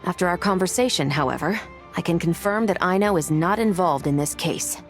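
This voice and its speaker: A woman's voice: on the low side, brisk, lightly acted. An actress playing a serious, cautious woman police investigator in a video game, speaking formally and in a businesslike way. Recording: music behind the voice.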